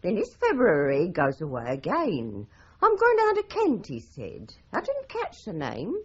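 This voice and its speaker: A woman's voice: lowish voice, huge pitch swings, measured pace, darkish tone, husky, lo-fi sound, full character voice. An actress playing a garrulous, dramatic middle-aged English landlady in a radio drama, with florid, declamatory storytelling. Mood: dramatic recounting. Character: garrulous, dramatic London landlady, respectable and indignant. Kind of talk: 1980s radio drama acting.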